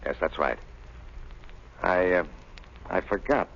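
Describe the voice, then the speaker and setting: A bossy crooked warden, low brusque voice, muffled old radio w/ hiss. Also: male voice, slow, creaky, a bit bright, full character voice. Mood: flustered, defensive. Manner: brusque, blustering. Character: gruff, scheming, domineering male prison warden. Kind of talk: 1930s radio drama acting. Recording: muffled, hissy 1930s radio recording.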